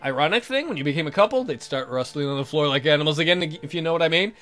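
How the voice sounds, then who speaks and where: A man's voice: fairly high pitch, lively intonation, rapid-fire, fairly bright tone, soft background music. A man hosting a let's play, reading a visual novel aloud in a jokey, theatrical, exaggerated way with comic asides.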